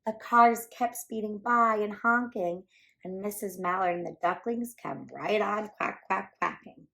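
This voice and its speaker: A woman hosting a children's storytime, reading a picture book aloud with animated, playful emphasis. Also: medium pitch, a little slow, creaky. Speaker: children's storytime reader and host.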